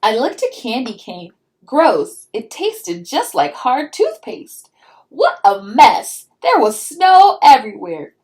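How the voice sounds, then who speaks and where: A woman's voice: high-pitched, wildly swooping pitch, takes it slowly, a little mellow, heavily acted. A woman voicing a dramatic little girl who craves sweets and tells her own storybook tale with exaggerated emphasis. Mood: disgusted.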